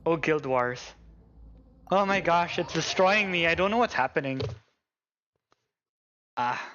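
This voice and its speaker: A man's voice: a little high, expressive, measured pace, dull audio, a little hiss or hum. A man doing casual live gameplay commentary, muttering to himself in frustration. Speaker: gaming streamer. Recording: voice over loud game music. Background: game music and sound effects.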